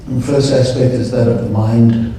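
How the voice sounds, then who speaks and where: A man speaking at a conference, leading a guided meditation in a calm, slow, measured way. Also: mid-range voice, a little hiss or hum. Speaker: meditation leader. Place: large conference hall. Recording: echoey hall, distant mic.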